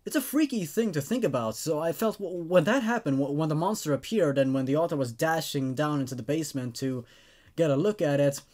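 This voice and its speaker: A man hosting a casual online story review, talking to viewers in a halting, stammering way with repeated words. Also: on the high side, lively intonation, darkish tone. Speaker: host of a horror story review show.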